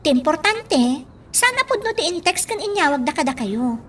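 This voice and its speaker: A concerned young daughter, played by a woman with an Ilocano accent. The voice is extremely high and lively, pleading and mildly reproving, with faint rumble behind it in a radio drama. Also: normal speed.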